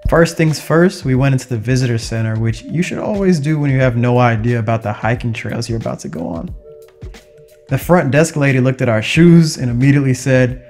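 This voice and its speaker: A young man narrates his travels in a casual, upbeat voice of medium pitch. He talks conversationally, like a vlogger sharing a trip, with music playing behind him. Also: brisk, a little mellow. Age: young adult.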